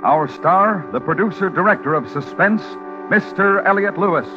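Guy, dramatic formal old radio intro, higher voice, big loud music, muffled. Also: lively intonation, normal speed. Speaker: radio announcer. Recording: dull vintage radio, loud music behind.

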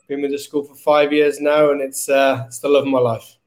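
A man's voice: medium pitch, little pitch movement, fairly quick. A middle-aged man speaking casually as a school principal being interviewed. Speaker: guest, school executive principal.

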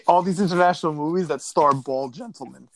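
Low-voiced woman recapping a movie. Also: fairly quick. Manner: laughs often, sarcastic asides. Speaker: podcast co-host. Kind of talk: casual movie recap chat.

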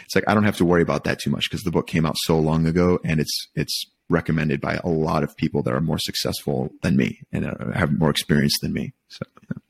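Very low-voiced, thoughtful man in conversation. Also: steady tone, creaky, husky. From Michigan, United States. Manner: thoughtful, halting, rephrases as he goes. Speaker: podcast guest. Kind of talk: casual reflective interview talk.

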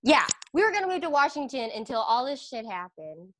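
A woman's voice: high-pitched, very animated, a little fast, a little hiss or hum. A woman guest, an aerial yoga instructor, chatting casually on a video call and filling her talk with "like" and laughter. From Philippines, raised in Pennsylvania. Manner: chatty, warm, many "like"s and laughs. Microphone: computer video call.

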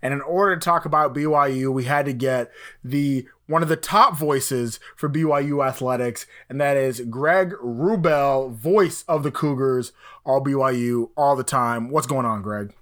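A man's voice: medium pitch, moderate pace, fairly bright tone. A man from Texas hosting a sports podcast in an upbeat, chatty way. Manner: upbeat and chatty, laughing easily. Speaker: podcast host. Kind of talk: casual sports podcast chat.

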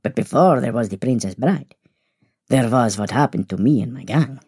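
A woman playing an old, chatty, genteel male vampire in an audio story, husky and creaky, with arch, exclamatory, deliberate phrasing. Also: rumbling low, expressive, a little mellow, no echo, clean studio sound, exaggerated voice. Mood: theatrical, inviting. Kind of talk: acted audio story dialogue.

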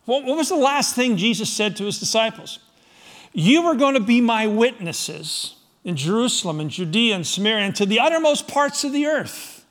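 A middle-aged man from Scotland preaching a sermon to his congregation, firing rhetorical questions, swinging from loud emphasis to soft, low asides. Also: animated, normal speed. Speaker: pastor.

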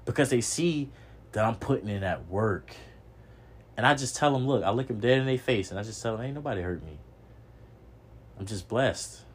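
A man's voice: lowish voice, expressive, moderate pace, pauses often, breathy edge. A young man hosting a podcast, giving a preachy motivational monologue, repeating himself and swearing casually. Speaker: podcast host.

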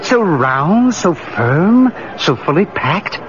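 Fussy, high-voiced excitable store manager. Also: male voice, slow pace, exaggerated voice. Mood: gushing, excited. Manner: effusive, excitable. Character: fussy, gushing department store manager, a man. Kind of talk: 1940s radio acting. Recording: muffled, noisy old radio recording.

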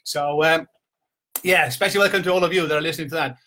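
Bright-voiced middle-aged Icelandic man. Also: fairly high pitch, quick pace. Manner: conversational, rambling storytelling with repeated "you know". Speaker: business coach giving a motivational talk. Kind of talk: informal motivational talk to a live online audience. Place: at home, indoors.

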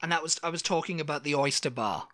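A man's voice: fairly high pitch, quick pace, a bit bright, dry recording, studio quality. A man who grew up in Wales, co-hosting a casual chat and rambling from one story to the next with restarts. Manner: chatty, rambling, self-interrupting. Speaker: podcast co-host. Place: living in Texas.

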